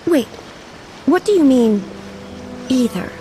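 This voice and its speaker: An actress playing a calm, dignified ruler who speaks formally and with composed authority in an anime game. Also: female voice, sits high, slow pace, low-quality recording. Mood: calm, aloof. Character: dignified female ruler, ageless, calm, regal, aloof yet curious. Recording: loud background music.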